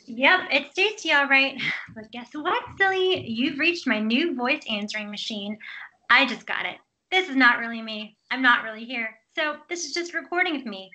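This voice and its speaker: Chirpy, silly young woman's voicemail greeting, read by an actress, high-pitched and bright, film drama. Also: lively intonation, normal speed, poor audio. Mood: playful, chirpy. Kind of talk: screenplay table read.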